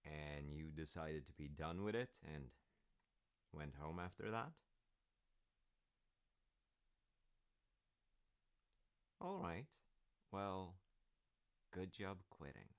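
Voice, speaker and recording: A man playing a supportive, rambling dad in a roleplay audio, talking gently and hesitantly to his grown child in a gravelly voice. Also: sits low, animated, slow pace, pauses often, exaggerated voice. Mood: reassuring. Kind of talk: roleplay audio, one-sided conversation.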